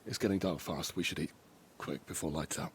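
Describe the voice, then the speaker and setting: A man's voice: rumbling low, fairly even intonation, a little fast, a little hiss or hum. A man playing a grave but tender, protective father at sea, earnest and instructive in a naturalistic audio drama. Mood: gentle, weary.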